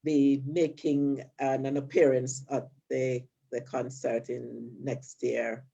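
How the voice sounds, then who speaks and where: A woman's voice: extremely low pitch, flat delivery, takes it slowly, gravelly, warm tone, slightly rough, dull audio. An older woman from Jamaica with a Jamaican accent, giving upbeat, chatty closing remarks at an online community event, with frequent fillers.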